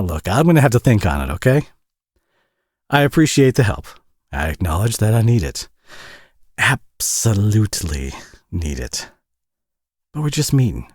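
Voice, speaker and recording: Low-voiced, flustered Southern single dad, acted by a man. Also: a little mellow, no room sound, clean studio sound. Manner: folksy, hesitant, chuckling drawl with pet names. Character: warm, flustered, tired Southern single dad, gently flirty. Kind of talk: intimate audio roleplay drama.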